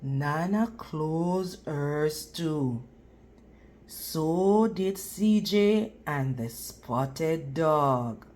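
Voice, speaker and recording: A woman reading a picture book aloud to children in a deliberate, teacherly manner, pausing for questions. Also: low voice, lively intonation, a little mellow. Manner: deliberate, teacherly, pausing to quiz and praise listeners. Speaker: children's read-aloud reader. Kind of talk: read-aloud storybook narration with questions to young listeners.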